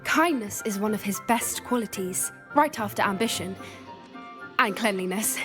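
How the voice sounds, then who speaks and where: A woman's voice: medium pitch, moderate pace, over music, lightly acted. An actress playing a kind, eager teenage girl who loves animals in a video game, friendly and breezy. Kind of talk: video game cutscene acting.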